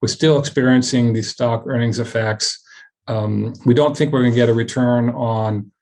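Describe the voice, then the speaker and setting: A man with a mid-pitched voice gives a business presentation as a company chairman on an earnings call. His delivery is semi-scripted and halting, with frequent restarts and repeated words. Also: fairly even intonation, a little mellow.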